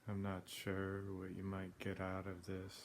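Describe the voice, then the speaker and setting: A man leading a guided meditation in a plain-spoken, unhurried way, leaving long pauses between phrases. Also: low-pitched, completely flat delivery. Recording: clearly noisy background ambience.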